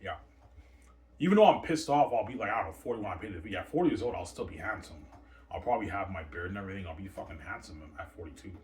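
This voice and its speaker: Deep-voiced boastful man in his thirties. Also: expressive, quick pace, a little mellow. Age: 30s. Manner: boastful, repeats "right?" and "obviously". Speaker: channel host. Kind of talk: rambling casual monologue. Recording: distant mic with faint noise.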